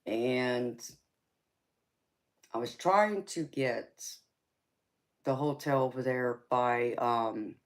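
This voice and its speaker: A woman with a Southern US accent talks with her live stream viewers in an easy, chatty way. She speaks at an unhurried pace, laughs often and calls people baby. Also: extremely low pitch. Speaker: tarot reader hosting a live stream. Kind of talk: casual live chat with viewers.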